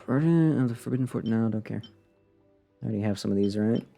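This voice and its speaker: A man's voice: fairly low pitch, fast, gravelly, mellow, faint music behind. A man giving casual live commentary as a game streamer, rambling and muttering to himself with playful sarcasm.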